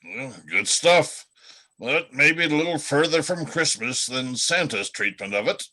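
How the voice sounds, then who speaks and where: A man's voice: mid-range voice, expressive, a little slow, bright, forceful tone. A man reading the part of a good-natured, earnest talking reindeer in an amateur radio play. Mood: wry. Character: good-natured talking reindeer who finishes others' sentences.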